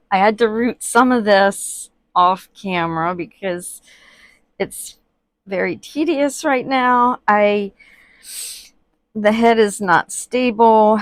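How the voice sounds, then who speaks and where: A woman talking slowly and chattily as she works at her table, full of ums, her voice often dropping to a murmur. She sniffles now and then from allergies. The sound is dry and close, with no room echo. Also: mid-range voice. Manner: chatty, many fillers, quiet and murmured while working. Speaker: reborn doll artist. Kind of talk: casual crafting tutorial vlog. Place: indoors at a worktable.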